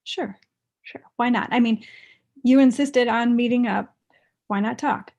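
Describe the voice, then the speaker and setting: A woman playing a blunt, resentful young ex-girlfriend with a deadpan, pressing manner, in a comedy table read. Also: fairly high pitch, unhurried, gravelly. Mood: blunt, wounded.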